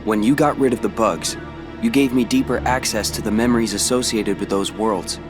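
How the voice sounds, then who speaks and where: A man plays a cool-headed young man in an animated game. He speaks in a fairly low voice, measured and serious, with music playing behind. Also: close to an everyday voice. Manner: measured, matter-of-fact. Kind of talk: animated game character acting.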